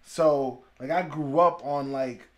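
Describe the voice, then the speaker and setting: A man's voice: fairly high pitch, takes it slowly. A man from Haiti, a podcast guest talking in casual chat, reflective and musing, often trailing off and restarting.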